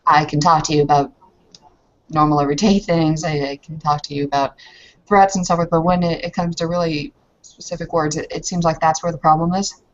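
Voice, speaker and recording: A woman's voice: deep voice, steady tone, a little fast, highs cut off, close to an everyday voice. A woman voicing an earnest young foreign woman in a roleplaying game, careful and proper, searching for her words. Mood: explaining, halting. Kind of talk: improvised in-character tabletop roleplay.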